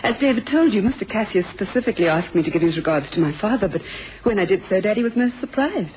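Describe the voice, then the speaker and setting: A woman's voice: mid-range voice, animated, fast talker, lightly acted. An actress playing a polite, candid young woman in a radio mystery drama, demure in manner. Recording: noisy, phone-like old radio recording.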